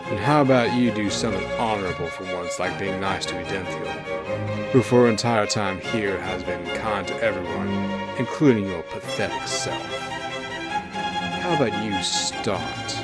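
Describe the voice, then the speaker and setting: A man's voice: lowish voice, natural voice. A man voicing a defiant, irreverent rebel in a fantasy story, blunt, emphatic and sardonic. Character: defiant, irreverent, plain-spoken male rebel subject. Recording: music bed behind the voice.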